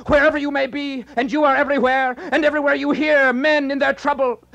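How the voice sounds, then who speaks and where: A man's voice: very high-pitched, level tone, quick pace, creaky, fairly bright tone, dull audio, pitched above the performer's own voice, heavily acted. A man voicing a wounded warrior who prays and reproaches with anguish in a storybook reading. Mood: anguished prayer. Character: wounded male warrior praying, anguished, urgent.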